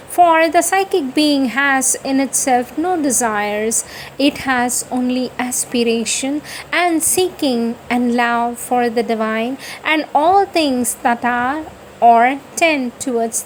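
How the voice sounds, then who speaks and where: A woman reading spiritual texts aloud in a deliberate, devotional style, phrase by phrase. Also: on the high side, slight background noise, rough recording. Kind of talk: slow devotional reading of scripture.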